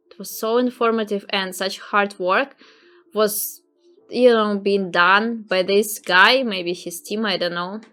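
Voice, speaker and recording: A woman's voice: medium pitch, a bit bright. A woman from Russia with a Russian accent, giving casual reaction commentary as a video host in simple, repetitive phrases.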